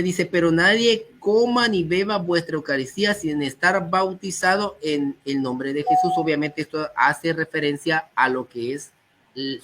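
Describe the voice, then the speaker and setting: A man, a guest speaker, who is slightly hoarse from a cold and reads quotations aloud before explaining them. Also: fairly high pitch, a bit bright. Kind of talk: teaching talk on early church texts.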